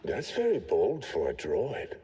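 A destruction-loving raider in a video game. The voice is dark and lowish, with lively swings and a wry slyness. Long reverb trails it. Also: male voice, unhurried. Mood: sly, intrigued. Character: sly, amused raider who admires destruction.

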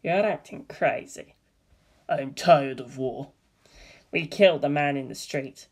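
A man reading a comedy screenplay aloud in a flat, deadpan monotone, announcing scene headings and character names. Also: a little high, a little slow, breathy edge. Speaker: reader of a comedy script.

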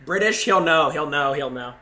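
A young man streaming a game on a headset, talking excitedly to his chat and yelling at big moments. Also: fairly high pitch, normal speed, crowd noise. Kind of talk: live game stream commentary. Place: small room indoors. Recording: game gunfire in background.

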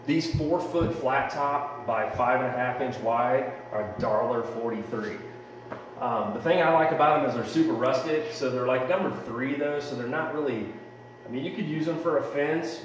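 A man's voice: mid-range voice, moderate pace, far from the mic, slight background noise. A man in his thirties casually walking viewers through a DIY woodworking project, chatty and rambling, in a large unfinished basement. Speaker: home renovation vlogger. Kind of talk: casual how-to explanation. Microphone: camera-mounted mic, a few steps away. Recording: roomy echoey basement, voice off-mic.

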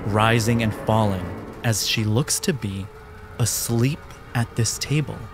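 Slow, low-voiced man narrating horror. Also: over music. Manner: deliberate, descriptive, atmospheric storytelling. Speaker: game master. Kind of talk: narrating a horror tabletop game.

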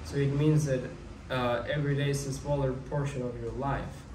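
A young man around twenty muses aloud at an ordinary pitch, rambling and wistful, restarting sentences as he thinks. He sits back from the mic in an echoey room, with a faint ambient hum behind him. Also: little pitch movement, normal speed, darkish tone, far from the mic. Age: young adult. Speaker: vlogger. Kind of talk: casual musing monologue.

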